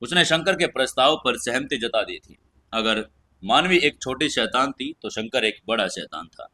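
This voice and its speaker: Guy reading out an audio story as the host, bright forceful voice. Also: medium pitch. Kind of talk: scripted audio-story narration.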